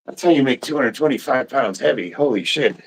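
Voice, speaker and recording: A congested man in his fifties, short of breath, chatting casually on a livestream, swearing and often clearing his throat. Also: medium pitch, animated, brisk, rough recording. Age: 50s. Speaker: livestreaming powerlifter.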